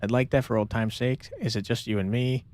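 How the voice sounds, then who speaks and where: A man's voice: mid-range voice, fairly even intonation, brisk, a little mellow. A man streaming a game with casual commentary, reading choices aloud and chatting chummily back to the characters. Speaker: game streamer, let's player.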